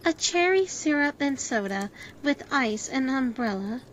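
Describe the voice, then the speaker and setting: A woman's voice: sits high, animated, measured pace. A woman playing a chatty, friendly barmaid who runs a pub, in an audio drama. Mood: welcoming. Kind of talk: amateur audio drama acting. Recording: dull lo-fi sound, faint noise.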